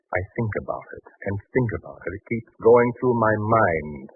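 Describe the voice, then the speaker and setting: A man's voice: lowish voice, lively intonation, normal speed, faint music behind. An actor playing an anguished, indecisive husband and father in a 1940s radio drama, hesitant and brooding. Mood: troubled. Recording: thin, muffled 1940s radio recording.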